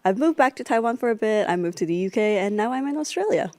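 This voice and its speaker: A woman's voice: medium pitch, animated, fast, a little mellow, no echo. A young woman from the Philippines, a startup co-founder, answering interview questions casually with lots of "like" fillers. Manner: chatty, frequent "like" fillers.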